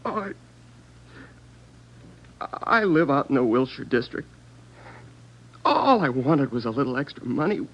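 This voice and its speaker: An actor playing a sullen, pleading twenty-year-old petty thief, defiant and easily panicked, in an old-time radio crime drama. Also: male voice, mid-range voice, very animated, unhurried, long pauses. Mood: cornered, anxious. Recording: dull vintage radio recording.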